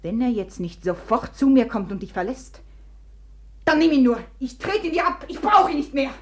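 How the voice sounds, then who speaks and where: A proud, desperate young woman in an old radio play, taunting one moment and dropping to a whisper the next. Her voice sits high and bright. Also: very animated, moderate pace. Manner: theatrical, swinging between taunting and whispering. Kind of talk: 1950s radio drama acting.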